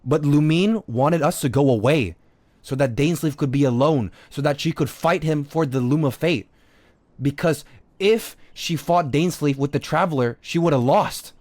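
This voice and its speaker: A man's voice: on the high side, normal speed, darkish tone, no room sound. Man arguing theories on a dry-sounding stream. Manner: argumentative, repetitive, swears casually. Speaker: game live streamer. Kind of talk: unscripted gaming stream commentary.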